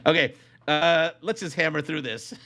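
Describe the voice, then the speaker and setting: A man's voice: fairly high pitch, moderate pace, bright, forceful tone. A man hosting a casual comedy podcast, talking in a rambling, joking way and commenting as he reads from a list. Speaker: podcast host.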